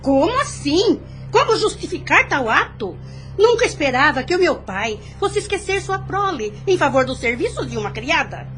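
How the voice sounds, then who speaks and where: A woman's voice: high-pitched, big pitch swings, moderate pace, fairly bright tone, faint music behind. A Brazilian woman playing a haughty, indignant aunt in a formal audio drama, speaking with scorn. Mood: outraged. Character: indignant, haughty aunt contesting her father's will.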